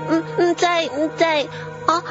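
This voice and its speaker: A woman's voice: very high voice, level tone. A woman with a Hong Kong accent playing a playful, pleading little girl in a radio drama. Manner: childlike, whining, halting. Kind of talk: Cantonese radio drama acting. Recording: dull lo-fi audio under music.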